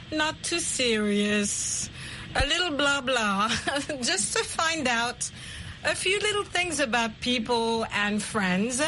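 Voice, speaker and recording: Woman lesson host, higher-pitched, measured and deliberate, explains as the conversation goes. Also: sharp tone, a little hiss or hum, rough recording. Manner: deliberate, explains words with simpler synonyms. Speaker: host and English teacher. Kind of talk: slow, clearly explained language lesson chat.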